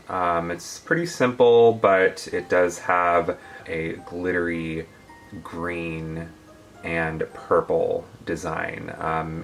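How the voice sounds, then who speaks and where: A man co-hosting a review channel, chatting casually in a measured, appreciative, conversational way about a product. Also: low voice, fairly bright tone. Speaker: doll review channel co-host.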